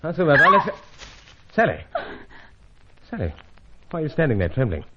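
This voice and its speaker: An actor playing a suave, unflappable British secret agent in an old-time radio adventure serial, breezy and gallant, with old-fashioned exclamations. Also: male voice, fairly high pitch, wildly swooping pitch, moderate pace, very noisy. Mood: brisk. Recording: dull, lo-fi radio serial recording.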